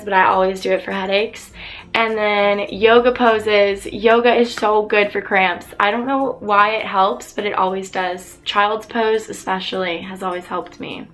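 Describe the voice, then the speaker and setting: A young woman vlogger chatting openly to camera while answering viewer questions, her voice a little raspy from losing it. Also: mid-range voice, fairly bright tone. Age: young adult. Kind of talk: casual chat to camera.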